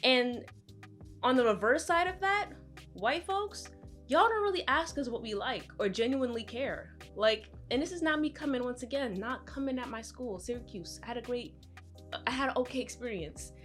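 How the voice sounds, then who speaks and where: A young woman speaks straight to camera in a candid, conversational way. Her voice sits fairly high and she leans hard on her points. Faint music plays quietly underneath. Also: lively intonation, normal speed. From Brooklyn, New York, with Trinidadian roots. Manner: conversational, emphatic, acts out voices of others. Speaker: vlogger. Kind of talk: candid personal commentary to camera.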